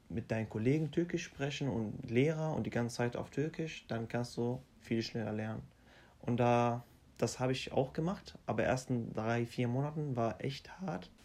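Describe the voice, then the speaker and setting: A young man, talking in an even, middle-pitched voice. He speaks haltingly and often restarts his sentences. His phrasing stays plain and simple. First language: Arabic. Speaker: interviewee. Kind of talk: casual interview.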